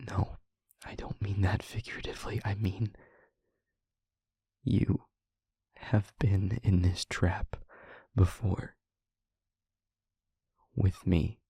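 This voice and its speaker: Man acting as husky, whispering, anguished demon. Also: sits low, level tone, no echo, full character voice. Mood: grave, hesitant. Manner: halting, hushed, breathy near-whisper, pleading. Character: anguished male demon confessing to a loved one. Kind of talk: intimate audio roleplay acting.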